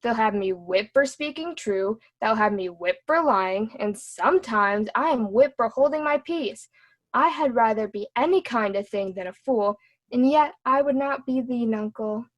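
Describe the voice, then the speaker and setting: A woman's voice: a little high, normal speed, lightly acted. A woman playing a witty, cheeky court jester in a Shakespearean reading, with a mocking, sing-song delivery.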